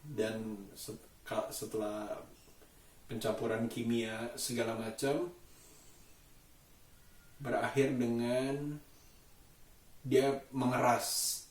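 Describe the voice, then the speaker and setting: A man chatting casually to a vlog camera, halting and thoughtful as he searches for words. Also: mid-range voice, level tone, darkish tone. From Indonesia. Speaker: vlogger. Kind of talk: casual daily vlog monologue. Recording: roomy echo, distant mic.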